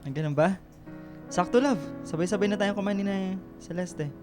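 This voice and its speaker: A man's voice: sits high, moderate pace, natural voice. A devoted boyfriend, played by a male actor in a radio love drama, plain-spoken and light-voiced. There is faint music behind him. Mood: affectionate, playful.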